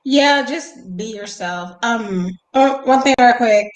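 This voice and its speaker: Young woman host, raspy and high, chatty livestream talk, echoey home room. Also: takes it slowly. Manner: chatty, reads viewer comments aloud. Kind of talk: casual livestream chat. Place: home room on a video call.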